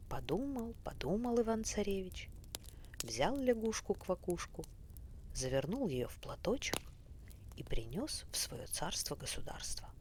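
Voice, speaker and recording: A woman narrating a bedtime fairy tale in a soft, often whispery, unhurried voice. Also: mid-range voice, very animated. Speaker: storyteller. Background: faint fireplace crackle.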